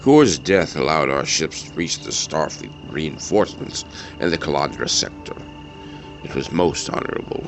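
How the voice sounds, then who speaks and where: An actor playing an old, honorable, grieving Klingon warrior in an audio drama, speaking in a solemn, weighty, halting way. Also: male voice, a bass voice, animated, vocal fry, over music, heavily acted, low-quality recording. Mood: heavy, proud.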